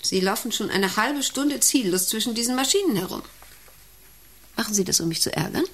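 A woman's voice: on the high side, lively intonation, a little fast, a bit bright. An actress in a German radio play playing an imperious, obsessive female factory boss with a haughty, commanding, brooding manner. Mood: irritated, haughty.

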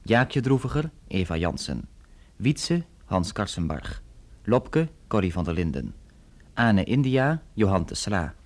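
A man's voice: lowish voice, big pitch swings, a little slow. Male radio announcer with sweeping intonation. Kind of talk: formal scripted closing credits.

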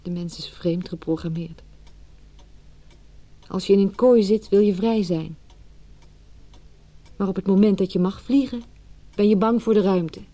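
Actress as troubled wife, radio drama, dark mellow tone, breathy, hesitant, intimate, reflective. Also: female voice, mid-range voice, measured pace, pauses often, lightly acted. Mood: brooding, resolute. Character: anxious, doubting wife torn by fears. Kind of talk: radio drama acting with inner monologue.

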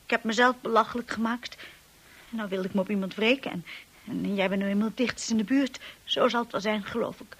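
An actress playing a shy, insecure young wife in a radio drama, earnest and hesitant. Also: female voice, medium pitch, steady tone, a little fast, fairly bright tone. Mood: apologetic. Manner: hesitant, breathy, apologetic.

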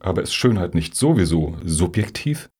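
A man's voice: low-pitched, big pitch swings, normal speed, a little mellow, husky, full character voice. A man playing a naive, tentative questioner on a panel in a satirical radio play.

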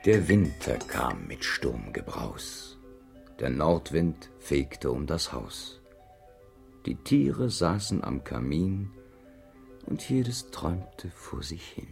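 A man's voice: very deep voice, very animated, slow, creaky, soft background music, exaggerated voice. A man playing a storyteller who recites rhymed verse in a children's radio play. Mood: atmospheric, suspenseful. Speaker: narrator.

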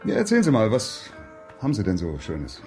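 An actor playing a naive, uncouth junior detective in a radio crime comedy, colloquial, hesitant and clumsily chummy. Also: male voice, fairly low pitch, huge pitch swings, brisk, a little mellow, faint music behind. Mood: flustered, chummy. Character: naive, uncouth male junior detective, good-natured and bumbling.

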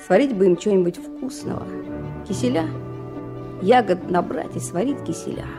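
A woman's voice: medium pitch, lively intonation, moderate pace, natural voice. A woman playing an earnest, simple-hearted young boy who cooks for timber rafters in a Soviet radio drama. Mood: earnest, wistful. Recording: 1970s radio play, music behind.

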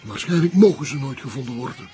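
A rather high-pitched elderly Flemish man, played by an actor in a TV crime drama. He is a retired journalist who speaks carefully and wryly, choosing his words with discretion. Soft music sits behind him. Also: expressive, fast talker, lightly acted. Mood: discreet, gossiping. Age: older.

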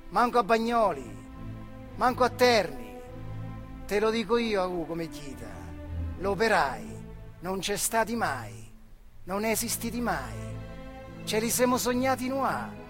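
A man playing a weary, stubborn old factory worker in a theatrical monologue, speaking colloquially and haltingly with a central Italian accent. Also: high-pitched. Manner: colloquial, halting, addressing a comrade directly. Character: weary, stubborn working-class factory labourer talking with his old comrade. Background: music.

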